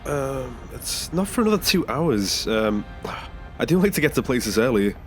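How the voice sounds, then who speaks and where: A man's voice: mid-range voice, big pitch swings, moderate pace, faint music behind, lightly acted. A man playing a nervous, earnest passenger in an audio drama, speaking hesitantly with small stammers. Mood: conciliatory. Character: nervous ageing male passenger seeking a new body. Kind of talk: naturalistic audio drama acting.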